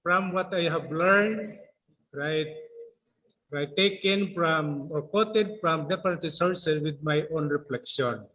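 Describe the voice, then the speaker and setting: A Filipino-accented man speaks formally and politely as a participant. His voice is somewhat high for a man, and he takes his time, repeating words. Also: slow pace, sharp tone, low-quality recording. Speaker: teacher, participant. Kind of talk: formal remarks from the floor.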